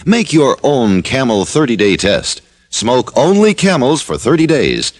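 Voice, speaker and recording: A man reading a 1950s radio cigarette commercial in an earnest sales pitch. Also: big pitch swings, measured pace. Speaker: commercial announcer.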